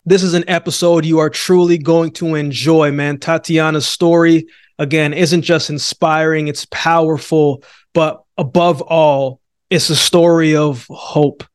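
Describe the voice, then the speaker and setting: Jamaican-born guy hosting a podcast, kinda high voice, dry close sound, earnest and pumped. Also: smooth, no echo. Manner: energetic, earnest, uses "man" often. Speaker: podcast host. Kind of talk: podcast interview.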